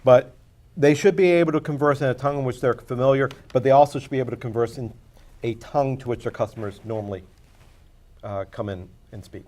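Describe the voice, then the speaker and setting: A man's voice: medium pitch, fast, a little mellow. A man on a TV panel giving blunt, opinionated takes on the news. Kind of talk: casual panel discussion.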